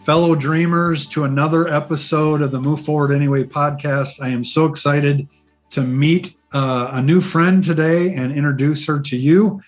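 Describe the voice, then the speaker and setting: A man's voice: on the high side, fairly even intonation, normal speed, soft background music. A man hosting an interview podcast, encouraging and enthusiastic, with frequent fillers. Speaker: podcast host.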